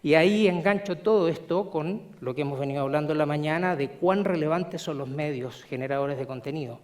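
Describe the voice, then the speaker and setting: A middle-aged man with a Chilean accent delivers a conference presentation. His voice sits a little higher than usual for a man. He explains in a measured way and fills pauses with short hesitation sounds. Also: darkish tone. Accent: Chilean Spanish. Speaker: conference speaker. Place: conference hall.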